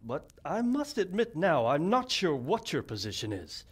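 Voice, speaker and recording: A skeptical noble with a husky, fairly high voice. He questions another lord's stance in a direct, doubtful way. His pitch moves in lively rises and falls. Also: moderate pace, heavily acted.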